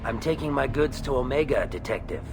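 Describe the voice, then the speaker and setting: A man's voice: medium pitch, lively intonation, fairly quick, background noise, natural voice. A man playing an anxious, put-upon alien merchant in a video game, with a nervous, aggrieved manner. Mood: indignant, anxious.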